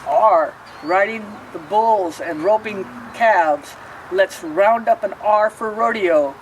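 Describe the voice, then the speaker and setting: A woman reading a rhyming picture book aloud, pausing to explain the pictures. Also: medium pitch, recorded from a distance, slight background noise, rough recording. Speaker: storybook reader.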